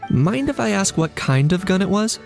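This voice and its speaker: A man voicing a composed, polite knight investigator in a game, measured and analytical with a dry streak. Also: high-pitched, very animated, creaky, a little mellow, soft background music, exaggerated voice. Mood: polite, curious. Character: composed, courteous male knight investigator, dryly cheeky. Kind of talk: English game dub acting.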